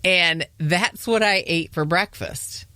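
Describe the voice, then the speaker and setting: A woman in her thirties from Michigan hosting a podcast in casual chat, bubbly and quick to laugh. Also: on the low side, bright, forceful tone, no room sound. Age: 30s. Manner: bubbly, laughs often, cheers. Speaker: podcast host.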